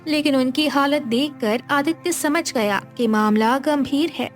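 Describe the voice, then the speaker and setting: A woman's voice: sits high, unhurried, soft background music. A woman narrating a scripted moral tale as a storyteller.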